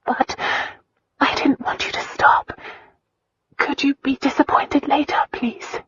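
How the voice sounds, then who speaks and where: A narrator voicing a vulnerable young woman in love in a romance audiobook, with a soft, breathy, pleading delivery. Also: medium pitch, a little slow, dry recording, dull audio, voice pushed up. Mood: tearful, pleading. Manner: pleading, intimate. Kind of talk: romance audiobook character dialogue.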